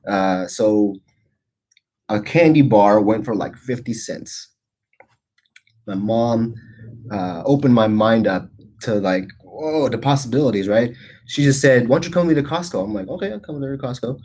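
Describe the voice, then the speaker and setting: A man's voice: mid-range voice, animated, normal speed, darkish tone, breathy edge. A man in his forties, born in the Philippines, hosting a casual livestream show in a rambling, chatty way with lots of fillers and restarts. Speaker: host.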